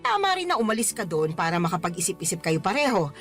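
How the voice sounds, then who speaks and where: A lively, swooping female voice plays a middle-aged mother in a radio drama. She is exclamatory, scolding and fond at once. Faint music sits behind her. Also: lowish voice, fast. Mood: advising. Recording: music under the voice.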